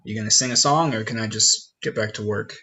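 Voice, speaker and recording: A man playing a gruff, cynical businessman father in a comedic script read, brusque and sarcastic, with a husky voice. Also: lowish voice, brisk, bright, forceful tone, heavily acted.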